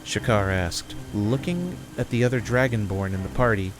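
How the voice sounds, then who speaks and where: A man's voice: fairly low pitch, animated, moderate pace, noisy background. A man reading scripted story narration as the narrator of a fantasy audio drama.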